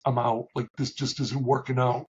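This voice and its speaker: A middle-aged man with a big, booming voice that comes through husky and a little dull. He talks candidly and haltingly as an interview guest, restarting his sentences often. His pitch sits at an ordinary level for a man. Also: level tone. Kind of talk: reflective conversational interview.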